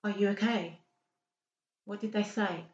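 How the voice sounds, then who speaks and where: A woman's voice: mid-range voice, fairly even intonation, unhurried, a little mellow, lightly acted. A woman playing a blunt, sceptical friend who questions everything, in a dramatised audiobook. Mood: concerned, questioning. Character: Sam, blunt, sceptical female friend and fellow escapee. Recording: roomy, slightly dull sound.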